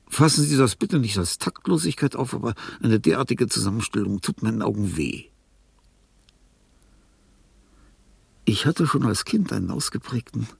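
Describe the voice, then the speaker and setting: An actor playing a sick, weary old artist near death, morbid and fussy, in a theatrical, brooding radio drama monologue. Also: male voice, medium pitch, no room sound. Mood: fussy, wistful. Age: older. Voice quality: husky, creaky. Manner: theatrical, brooding, slips into whispering.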